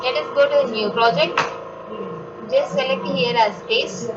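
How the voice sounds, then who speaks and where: A woman with an Indian accent speaks in a fairly high voice through a dull, lo-fi recording with loud noise behind her. She teaches software step by step, unscripted, posing short questions and then answering them herself. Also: a little slow. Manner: instructional, asks and answers own questions. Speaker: software tutorial instructor. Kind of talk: step-by-step screen tutorial, unscripted.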